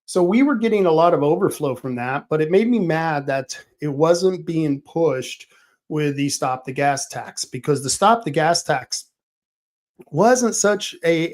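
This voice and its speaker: A middle-aged man hosting a political talk show, rambling casually with many restarts. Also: on the high side.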